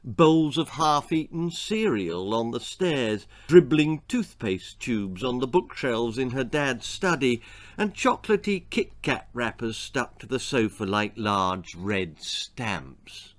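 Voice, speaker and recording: A man with a British accent reading a children's story theatrically, relishing each word with dramatic pauses. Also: medium pitch, sing-song, no room sound. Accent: British English. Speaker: author reading own story.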